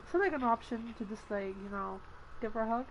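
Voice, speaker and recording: A woman giving casual livestream game commentary in an informal, halting, sweary way. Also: a little high, noisy background. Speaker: game streamer.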